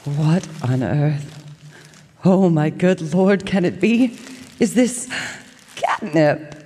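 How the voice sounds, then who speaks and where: A melodramatic, jealous female cat acted by a woman, plaintive and full of self-pity. The voice is low for a woman and theatrical, on a radio drama stage in a reverberant hall. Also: takes it slowly, dark tone. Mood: astonished, delighted. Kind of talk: live radio drama acting.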